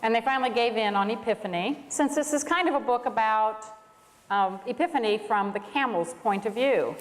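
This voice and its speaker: Female storybook reader for a church congregation, higher-pitched voice, long hall reverb. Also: moderate pace. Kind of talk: children's storybook read aloud to a congregation.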